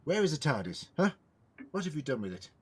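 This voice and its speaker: A man's voice: on the high side, big pitch swings, fairly quick, husky. A man playing an eccentric, ancient alien time traveller in an audio drama, theatrical and self-questioning, shifting between muttering and declaiming. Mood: inquisitive, indignant. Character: eccentric thousand-year-old male alien time traveller, muttering, indignant.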